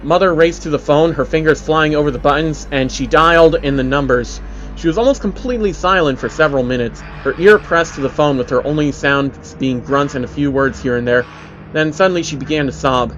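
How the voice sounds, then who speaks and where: A man's voice: a little high, brisk, a bit bright, slight background noise. Man narrating a story, slightly dull audio. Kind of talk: scripted dramatic story narration. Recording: dull lo-fi audio under music.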